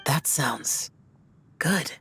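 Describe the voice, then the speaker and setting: A brooding young immortal warrior talks slowly in a very low register. The delivery is terse, guarded and subdued, a reserved video game character with faint music underneath. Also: female voice, lively intonation, fairly bright tone, heavily acted. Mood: reserved, soft. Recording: background music.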